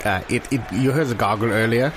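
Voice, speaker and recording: A man playing an earnest sports expert giving halting, deadpan mock commentary. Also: mid-range voice, noisy background, close to an everyday voice. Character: earnest male sports expert on absurd rock tossing.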